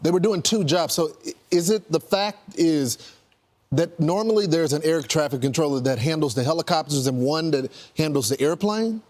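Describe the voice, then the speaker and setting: Slightly high-voiced American male news co-host. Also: expressive, normal speed, a little mellow. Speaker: morning news show co-host. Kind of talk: conversational TV news discussion. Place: TV studio couch set.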